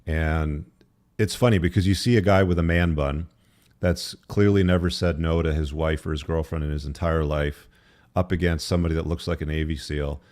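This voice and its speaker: A man's voice: rumbling low, fairly even intonation, normal speed, darkish tone. A man speaking as an interview guest, explaining his opinions in a conversational, opinionated way. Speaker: podcast guest, author.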